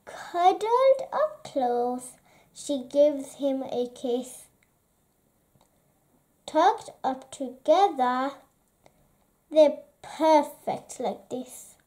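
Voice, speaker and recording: Female bedtime-book reader, high and mellow, lulling delivery with pauses between phrases. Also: lively intonation. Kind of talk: read-aloud bedtime story narration.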